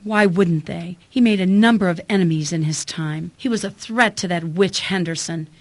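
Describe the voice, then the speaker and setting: An actress playing a bitter, defensive grieving widow in a radio mystery. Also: female voice, on the low side, moderate pace. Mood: bitter grief.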